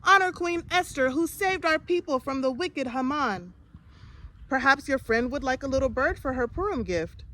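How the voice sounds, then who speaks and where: A woman's voice: sits high, animated, normal speed, bright, forceful tone, pitched above the performer's own voice. A woman voicing an emphatic, proud village baker mother from a children's storybook. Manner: emphatic, exclaiming. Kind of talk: storybook character voice.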